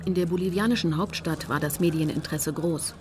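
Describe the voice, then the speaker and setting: A woman's voice: low-pitched, brisk, music in the background, close to an everyday voice. An actress playing a determined Nazi hunter who recounts her mission soberly and precisely, as scripted radio drama narration.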